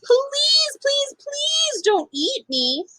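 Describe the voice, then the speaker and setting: A woman's voice: very high voice, big pitch swings, very slow, bright, forceful tone, voice pushed up, exaggerated voice. A woman voicing a tiny, timid, pleading mouse in a storybook.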